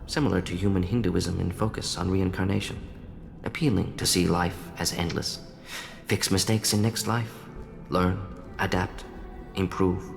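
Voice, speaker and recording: Male alien scientist, game cutscene, lowish pitch, clipped fragments, analytical, remorseful, long reverb, music behind. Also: steady tone, normal speed, gravelly. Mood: earnest, insistent. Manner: clipped telegraphic phrases, dropping small words, analytical. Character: fast-thinking alien scientist doctor, brilliant, defensive, remorseful male Salarian. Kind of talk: video game cutscene acting.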